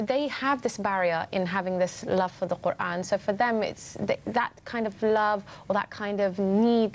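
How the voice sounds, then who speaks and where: A woman hosting a panel discussion on a broadcast show, talking in a gentle, earnest way and adding many pious interjections. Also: medium pitch. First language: not Arabic. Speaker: host.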